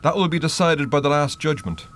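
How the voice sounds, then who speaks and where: An actor playing a stern, solemn spirit who guides the dead in a radio play, grave and deliberate. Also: male voice, a little high, expressive, a bit bright, faint music behind. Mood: ominous.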